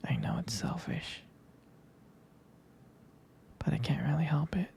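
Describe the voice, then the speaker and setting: A young man acts out a devoted, jealous boyfriend in an intimate ASMR roleplay. His voice is dark and mellow, full of deep crackling fry, and often sinks to a husky whisper. He talks hesitantly and tenderly, close and protective. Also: expressive, unhurried. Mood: possessive, devoted. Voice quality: breathy, husky, gravelly whisper.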